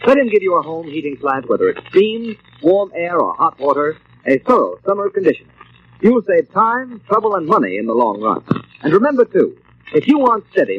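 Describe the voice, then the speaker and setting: Animated, higher-pitched male radio announcer. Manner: emphatic, formal sales pitch. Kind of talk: 1940s scripted radio advertising. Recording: muffled, noisy old radio recording.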